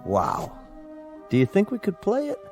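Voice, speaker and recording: An actor playing a genial small-town husband in a radio drama, folksy and casual in manner. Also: male voice, sits high, huge pitch swings, measured pace, vocal fry, a little mellow, soft background music, heavily acted. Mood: wistful, pleased.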